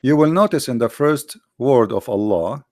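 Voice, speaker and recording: A man whose first language is Arabic, lecturing combatively over on-screen text, with many halting fillers and slow, drawn-out stress on key words. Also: medium pitch, animated, darkish tone, dry recording, clean studio sound. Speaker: religious commentator.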